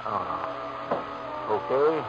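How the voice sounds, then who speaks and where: An American man playing a soft, round, suspicious roadside bar owner in a 1940s radio drama, with a folksy manner. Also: lowish voice, lively intonation, very slow, noisy background. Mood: sly, dismissive. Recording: muffled old radio recording with music.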